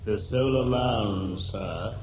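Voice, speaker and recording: An actor playing a failing robot drone in a radio drama, deferential, formal and prone to ramble like an old-fashioned butler. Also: male voice, lowish voice, takes it slowly. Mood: fading, dutiful. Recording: echoey, clearly noisy 1980s radio drama.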